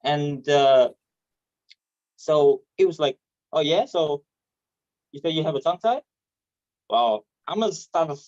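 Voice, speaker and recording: A man from Vietnam with a Vietnamese accent and a stutter, performing stand-up comedy haltingly over a video call. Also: fairly high pitch, animated, darkish tone, no echo. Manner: hesitant, halting delivery with repeated words. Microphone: video call microphone. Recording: dull, muffled online call audio.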